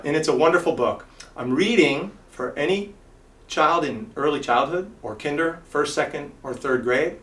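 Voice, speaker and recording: Animated man reading a children's storybook. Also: on the high side. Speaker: school leader reading to students. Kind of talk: expressive children's storybook read-aloud.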